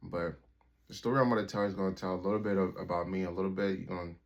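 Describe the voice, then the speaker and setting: A man's voice: low voice, fairly even intonation, racing. A man in his twenties from Liberia, telling a personal story to his channel in a loose, rambling way with plenty of "like" and cursing. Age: 20s. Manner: rambling, loose and profane, full of "like" and restarts. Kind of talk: casual storytelling vlog. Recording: dull lo-fi recording.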